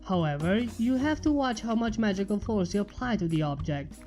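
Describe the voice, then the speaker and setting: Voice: mid-range voice, expressive, fairly quick, a little mellow, soft background music, natural voice. A fan reader voicing an eager, scholarly female pony teacher who lectures patiently. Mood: instructive.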